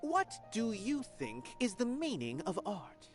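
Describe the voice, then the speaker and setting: A man's voice: high-pitched, huge pitch swings, a little slow, full character voice. An actor playing an aloof male theater observer who talks down to people and muses on art, over game music. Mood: lofty. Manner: lofty and condescending.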